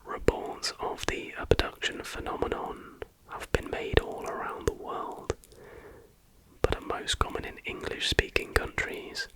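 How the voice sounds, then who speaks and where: An ASMR host speaking entirely in a breathy whisper, chatty and informal. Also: unhurried, rough recording. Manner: chatty, informal, joking asides.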